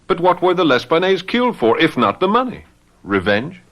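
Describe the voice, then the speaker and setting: An actor playing a jealous, hot-headed young fiancé in a radio mystery drama, with a blunt, sceptical manner. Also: male voice, a little high, big pitch swings, normal speed, a bit bright.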